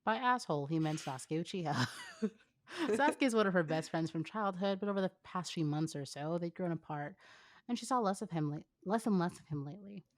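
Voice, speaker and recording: A woman's voice: lowish voice, lively intonation, fairly quick. A young adult woman co-hosting a fan fiction reading, narrating with casual asides.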